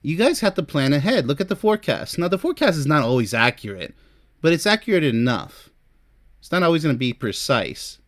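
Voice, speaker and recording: A man's voice: a little high, very animated, brisk, a bit bright, dry recording, clean studio sound. A man giving informal relationship advice as a host, chatty and emphatic, speaking straight to the listener. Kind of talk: informal advice talk to camera, ranking topics.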